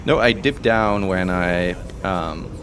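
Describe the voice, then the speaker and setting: A man from Italy whose first language is Italian, giving excitable live commentary as he plays, talking through each move. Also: low-pitched, slow, noisy background. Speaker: streamer and commentator.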